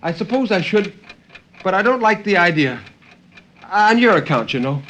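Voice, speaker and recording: A man's voice: high voice, very animated, normal speed, a bit bright, voice pushed up. A man voicing an anxious, evasive wife on the telephone, in a 1950s radio reading. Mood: hesitant, evasive. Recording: noisy 1950s radio recording.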